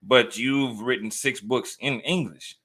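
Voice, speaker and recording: A man's voice: medium pitch, a little slow, breathy edge. A man hosting an interview, affirming and relating to his guest in casual talk. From Texas. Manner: warm, affirming, relates personally. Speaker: interview host.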